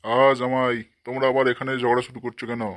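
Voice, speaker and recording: A man's voice: mid-range voice, monotone, fast talker, a bit bright, no room sound, close to an everyday voice. A man voicing an indignant, blustering older father-in-law in a cartoon comedy.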